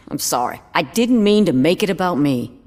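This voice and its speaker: A woman's voice: lowish voice, animated, moderate pace, darkish tone, natural voice. A woman playing a tough but shaken police officer in a video game, plain-spoken and guarded. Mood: shaken, worried.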